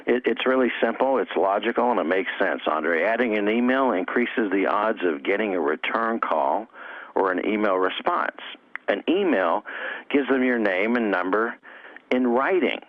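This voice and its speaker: A man's voice: lowish voice, animated, normal speed, dry recording. A man giving instructional interview answers as a coach and trainer. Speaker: network marketing coach and trainer. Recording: dull, dry lo-fi audio.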